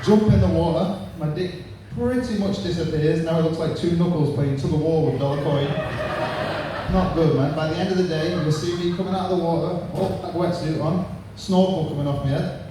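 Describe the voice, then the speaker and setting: A man's voice: on the high side, a little fast. Man, 30s, Manchester accent, stand-up comic, punchy crude jokes, noisy reverberant pub stage. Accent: Manchester English. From England. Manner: punchy crude joke delivery. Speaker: stand-up comedian. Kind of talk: stand-up set. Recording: echoey room from far off, noisy.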